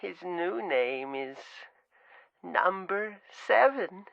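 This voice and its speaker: Creepy unhinged stranger in a horror game, crawling slow singsong taunts, dull lo-fi sound. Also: mid-range voice, animated, gravelly, no echo, heavily acted. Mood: creepy calm. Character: sinister unhinged stranger on the telephone, mockingly celebrating. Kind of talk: slow, eerie video game voice acting.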